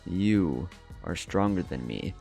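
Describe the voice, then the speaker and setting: A man voicing an ancient, calm and wise male sage in a measured, philosophical manner for a story. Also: low voice, creaky, faint music behind, full character voice.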